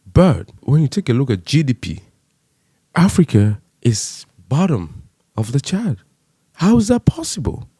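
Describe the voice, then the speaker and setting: A man's voice: mellow, dry recording, studio quality. A man from Cameroon giving an impassioned commentary monologue, full of rhetorical questions. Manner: impassioned, rhetorical questions, rising pitch when stressed. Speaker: vlogger.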